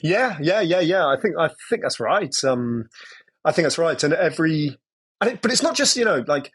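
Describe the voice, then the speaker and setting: A man's voice: fairly high pitch, sing-song, normal speed, fairly bright tone, breathy edge. A man from Britain, a former football commentator, giving opinionated, rambling answers as a podcast guest. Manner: opinionated, digressive, many restarts and "you know". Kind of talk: casual conversational interview.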